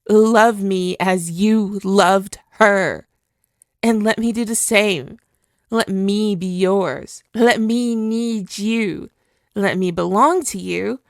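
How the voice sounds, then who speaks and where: A tormented, possessive spirit speaks through a stolen female body, acted by a woman. The delivery is theatrical and unhurried, lingering on words at a middle pitch. It is an ASMR roleplay villainess, recorded very dry. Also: no room sound. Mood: possessive, pleading. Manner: slow, theatrical, brooding, dwelling on words. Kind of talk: ASMR dramatic roleplay monologue.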